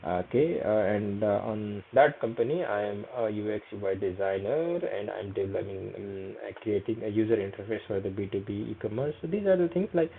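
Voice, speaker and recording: A man's voice: on the low side, lively intonation, brisk, vocal fry, few pauses, a little hiss or hum. A young man with a Nepali accent, a native Nepali speaker, walking through a screen-share tutorial in hesitant English full of fillers and repeated "okay". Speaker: vlogger. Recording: tinny phone-like audio.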